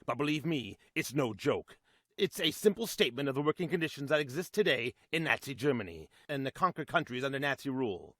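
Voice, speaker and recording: An actor playing a 1940s radio celebrity making a grave wartime appeal in an emphatic, rhetorical style. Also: male voice, medium pitch, big pitch swings, brisk, bright, forceful tone, no echo, studio quality. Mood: grave, challenging. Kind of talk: 1940s radio acting, dramatic patriotic appeal.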